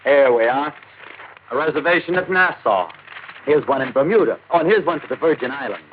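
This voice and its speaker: An actor playing an exasperated, grumbling travel agent, matter-of-fact, in an old-time radio drama. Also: male voice, fairly high pitch, lively intonation, fairly quick, lightly acted. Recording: tinny, noisy vintage radio recording.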